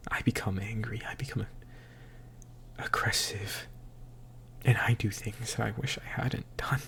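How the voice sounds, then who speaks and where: A slightly tipsy man recording a confessional audio diary, speaking in a halting, hushed, introspective way that often trails into a whisper. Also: lowish voice, steady tone, creaky. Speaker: audio diarist.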